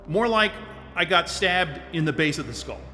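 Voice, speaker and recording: A blunt, stressed loner. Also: male voice, a little high, animated, normal speed, sharp tone, long reverb tail, slight background noise. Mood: strained. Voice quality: blunt and profane. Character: stressed isolated man, grumpy, blunt, swearing. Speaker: lead character. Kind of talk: audio drama acting.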